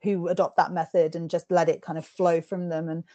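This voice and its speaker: A woman's voice: lowish voice, level tone, fairly quick, dark tone, no room sound. A woman hosting an interview, talking casually with frequent giggles, fillers and restarts over a remote call. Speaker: podcast host interviewing an author.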